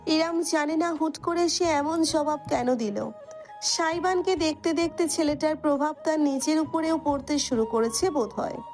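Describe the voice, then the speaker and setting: Lady narrating audio love story, high pitched, scripted dramatic reading, light music behind. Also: moderate pace, darkish tone.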